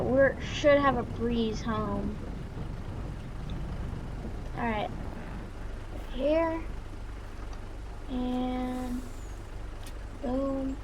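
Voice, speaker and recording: A woman doing casual, halting roleplay commentary over a farming game, excitable and given to exclaiming. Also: fairly high pitch. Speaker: gaming video creator. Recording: noisy, with vehicle engine sounds.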